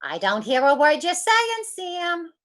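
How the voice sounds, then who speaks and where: A brassy underworld dame acted by a woman from an old radio crime play. She is defiant and taunting, stretching out her slang slowly in a very high, widely swinging voice. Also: sing-song, a bit bright, exaggerated voice. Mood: defiant, evasive. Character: brassy, defiant female underworld juke-joint owner. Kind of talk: old-time radio gangster slang acting.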